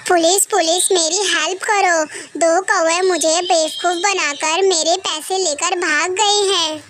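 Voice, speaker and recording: Someone voicing a very high, bright cartoon bird. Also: fairly even intonation, a little slow, a little hiss or hum. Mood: pleading. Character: high-pitched cartoon birds: parrot, crow wife, sparrow. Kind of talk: Hindi animated children's story dialogue.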